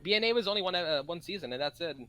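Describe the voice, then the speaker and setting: A man's voice: high-pitched, lively intonation, rapid-fire, a crowd in the background. A man co-hosting a podcast in casual fan banter, with other voices chattering behind him. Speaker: podcast co-host.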